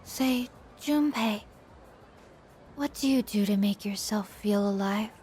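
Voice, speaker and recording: A woman voicing an aloof, quietly curious, melancholy young woman in a video game, speaking in a soft, detached, deliberate way. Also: medium pitch, soft background music, close to an everyday voice. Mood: detached, pensive. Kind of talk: English video game voice acting.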